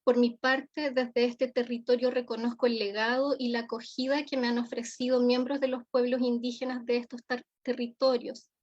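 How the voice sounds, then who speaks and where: High-voiced Chilean woman giving a formal lecture. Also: flat delivery, normal speed, few pauses. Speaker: forensic psychologist giving a keynote lecture. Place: remote online conference. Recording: dull, lo-fi audio.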